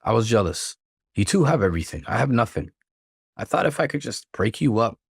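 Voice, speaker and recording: A man's voice: medium pitch, big pitch swings, brisk, vocal fry, slightly rough, dry recording, studio quality, full character voice. A man voicing a jealous, bitter woman, the best friend in a story reading, defensive and emotional. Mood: deflated, ashamed.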